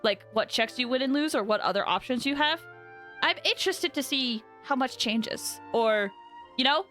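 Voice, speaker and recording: Casting: female game streamer, high, lively, casual and reactive, music in the background. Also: normal speed. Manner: reactive, expressive. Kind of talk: live game commentary, casual.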